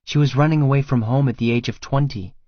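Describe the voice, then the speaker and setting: A woman's voice: extremely low pitch, quick pace, no echo, lo-fi sound. A man voicing a hesitant, curious young woman in a romance audiobook, in a light, conversational way. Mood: concerned.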